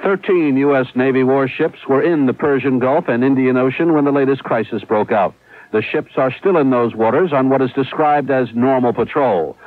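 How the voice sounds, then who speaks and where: A man's voice: mid-range voice, normal speed, no echo. A man giving a scripted radio news report as a correspondent, with emphatic, clipped phrasing. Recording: dry, tinny radio broadcast.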